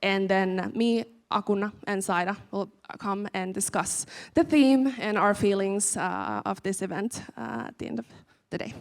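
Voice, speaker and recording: A woman's voice: medium pitch, vocal fry. A woman organizer sets out the rules for an online event. She speaks sincerely in a middle register, with frequent hesitations. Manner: earnest, with pauses and uhs. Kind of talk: event announcements.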